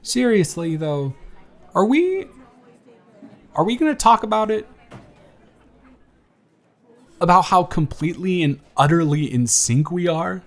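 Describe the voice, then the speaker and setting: A man's voice: high voice, normal speed. A man playing a giddy, smitten young man on a blind date, bantering playfully in a one-sided romantic audio roleplay. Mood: excited, playful. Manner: animated, bantering, playful storytelling with stammers.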